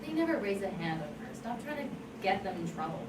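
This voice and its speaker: A woman's voice: medium pitch, big pitch swings, fast, recorded from a distance, lightly acted. A woman at an amateur table read playing a defensive, combative seventeen-year-old suspect. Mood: indignant. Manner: combative, indignant. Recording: very noisy, echoey room from afar.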